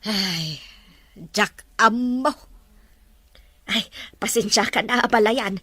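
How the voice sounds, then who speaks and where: A woman's voice: medium pitch, slow, sharp tone, dry recording. A woman playing a concerned, talkative middle-aged Filipino mother who chides and counsels in a family drama. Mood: worried, chatty. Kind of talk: naturalistic drama dialogue.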